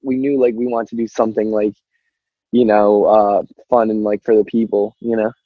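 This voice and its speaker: A man's voice: fairly low pitch, flat delivery, normal speed, dry recording. A young man, a musician guest, chatting casually in a rambling, filler-heavy way on a dull lo-fi recording. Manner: rambling, peppered with "like" and "you know". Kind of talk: casual chat.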